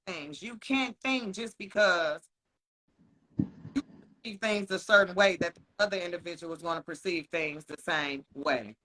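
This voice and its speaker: A man co-hosting a podcast in casual chat. He talks frankly and swears a lot, laughs often, and slows down to stress his points. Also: high voice, sharp tone, poor audio. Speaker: podcast co-host.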